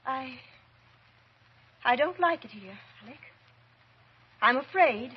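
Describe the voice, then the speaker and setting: An actress playing a frightened, pleading woman, halting with dread, in an old-time radio horror drama. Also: high voice, expressive, breathy edge, exaggerated voice. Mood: uneasy, afraid. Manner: hesitant, halting, breathless dread. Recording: dull vintage radio recording.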